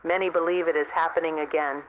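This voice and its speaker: A man's voice: fairly high pitch, flat delivery, fast, lightly acted. A man playing a somber field reporter in a radio drama, relaying solemn stories over a radio link. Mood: solemn, ominous. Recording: tinny noisy radio link.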